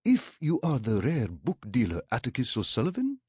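Man voicing a husky, courteous Egyptian gentleman. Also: mid-range voice, huge pitch swings, no room sound. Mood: formal inquiry. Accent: Egyptian Arabic. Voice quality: rich, lilting, husky. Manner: formal, measured, polite. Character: courteous, cultured Egyptian male book seeker.

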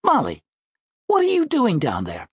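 A man voicing a smug, high-pitched schoolboy. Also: huge pitch swings, moderate pace, husky, dry recording, studio quality, heavily acted. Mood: breezy, self-assured. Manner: breezy, smug, wordy. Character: confident, pompous, precocious seventh-grade boy. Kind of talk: audiobook character voice.

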